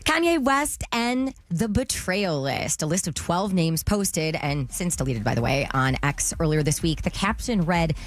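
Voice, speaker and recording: A woman with a raspy voice, co-hosting a morning radio show and reading entertainment news in a playful way. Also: on the low side, expressive, unhurried, hardly any pauses. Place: radio studio.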